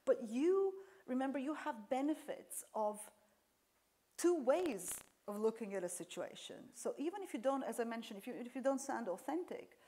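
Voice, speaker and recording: A woman's voice: high-pitched, expressive, normal speed, warm tone. A woman lecturer whose first language is Serbian, answering audience questions after a talk, explaining with frequent fillers and restarts.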